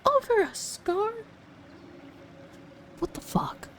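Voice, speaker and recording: Slow, animated woman livestreamer, highish voice. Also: slight background noise. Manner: blunt short reactions, echoes game dialogue. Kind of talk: casual game livestream reactions.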